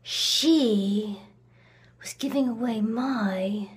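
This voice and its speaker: A woman performing a dramatic monologue as a cold, reclusive, resentful woman accused of murder in the 1890s, with deliberate pauses. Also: lowish voice, close to an everyday voice. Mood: hushed, grieving. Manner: slow, deliberate delivery with long pauses.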